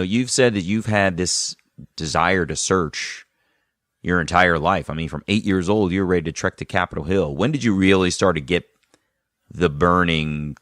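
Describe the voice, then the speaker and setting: A man's voice: low voice, fairly bright tone, no echo, studio quality. A man hosting a podcast, moving between scripted narration and conversational interviewing. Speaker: podcast host.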